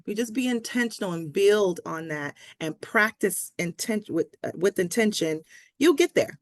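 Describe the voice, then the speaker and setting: A woman's voice: mid-range voice, animated, moderate pace, no room sound, clean studio sound. A woman speaking as a language coach in a conversational pep talk, encouraging and emphatic, repeating key phrases for stress. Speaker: Spanish language coach, interview guest.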